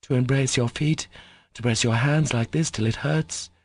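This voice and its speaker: A man's voice: mid-range voice, normal speed, dry recording, pitched above the performer's own voice, close to an everyday voice. A man reading the lines of a passionate, wounded young Russian woman, who speaks in breathless, emotional pleas. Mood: impassioned.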